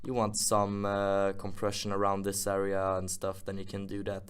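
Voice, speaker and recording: A man presenting an informal music software tutorial, casually thinking aloud as he works. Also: low voice, completely flat delivery, normal speed, smooth.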